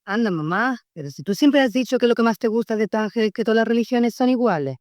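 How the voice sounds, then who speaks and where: An actress playing a young woman in a film, arguing quickly and challengingly with her mother. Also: fairly high pitch, animated, darkish tone, no echo, clean studio sound, natural voice. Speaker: film character.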